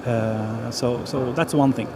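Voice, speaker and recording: A man's voice: medium pitch, steady tone, takes it slowly, noisy background. A man from Sweden speaking as a panelist on an online panel, plain and pragmatic, hesitating and repeating words.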